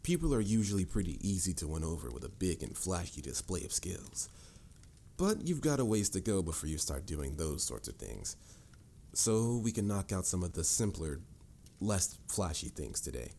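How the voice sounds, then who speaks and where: A stern adult mage , played by a man, speaks in a low, mellow voice with formal, measured phrasing. He lectures the listener with dry exasperation, as a mentor in a fantasy audio roleplay. There is some noise and rumble behind him. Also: sing-song, vocal fry, full character voice. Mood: instructive.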